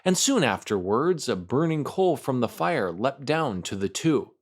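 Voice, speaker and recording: A man's voice: mid-range voice, very animated, measured pace. A man reading a storybook aloud as a storyteller, theatrical and carefully phrased. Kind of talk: expressive read-aloud storybook narration.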